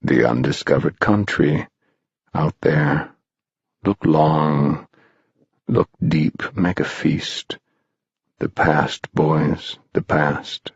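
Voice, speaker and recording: A man voicing an eerie hooded spectral figure who speaks slowly and solemnly. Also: a bass voice, creaky, slightly rough, no room sound, dull audio, voice pushed down, heavily acted. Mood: ominous. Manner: slow, hushed, solemn.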